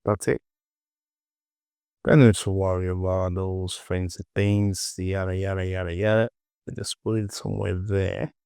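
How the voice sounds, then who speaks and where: A man presenting a casual software tutorial, informally talking himself through the work and often repeating words, close to the mic. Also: sits low, flat delivery, measured pace, vocal fry, clear, resonant tone, no echo.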